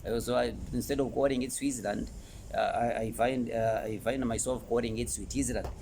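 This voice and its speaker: Ugandan male educational presenter, emphatic and halting delivery, mid-pitched voice, some background noise. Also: steady tone, a little mellow. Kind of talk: informal educational presentation to camera.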